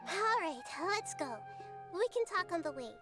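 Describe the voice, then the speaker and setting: A woman voicing a gentle, wise girl goddess in a game, talking softly and kindly, with game music behind. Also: extremely high pitch, very animated, moderate pace. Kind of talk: game character dialogue.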